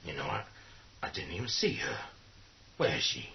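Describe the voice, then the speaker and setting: An actor playing a gruff, protective survivors' leader, firm and blunt, in a horror radio play. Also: male voice, deep voice, big pitch swings, moderate pace. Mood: subdued. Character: commanding, protective, gruff man leading survivors. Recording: dull, muffled audio.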